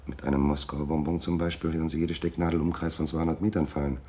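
An actor playing a shabby, pedantic private detective in a radio drama, dry and officious, evasive and grumbling. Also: male voice, very deep voice, little pitch movement, quick pace, warm tone, a little hiss or hum. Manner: dry, officious, stilted phrasing. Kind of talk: German radio drama acting.

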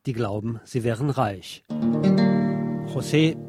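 Man reading measured narration, dry close sound. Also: medium pitch, darkish tone, husky, no echo, soft background music. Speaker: radio host. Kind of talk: read-aloud scripted obituary narration.

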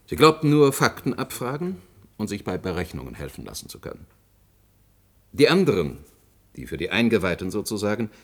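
A low male voice with very lively intonation, as a self-assured president in a radio drama. He explains in an articulate, expository way. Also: creaky, exaggerated voice. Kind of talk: German radio play acting.

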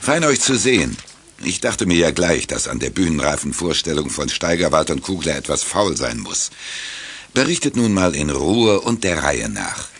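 An actor playing a calm, middle-aged chief inspector with a measured official manner in a German children's audio drama. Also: male voice, a bass voice, husky, full character voice. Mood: businesslike.

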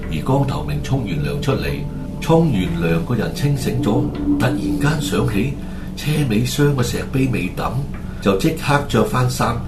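A man with a Hong Kong Cantonese accent narrating a scripted ghost story for a radio drama. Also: medium pitch. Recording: echoey, loud music underneath.

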